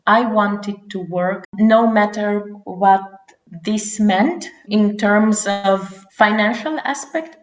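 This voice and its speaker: A woman in her forties from Romania, giving earnest interview answers with frequent restarts. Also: medium pitch. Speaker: guest. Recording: roomy echo.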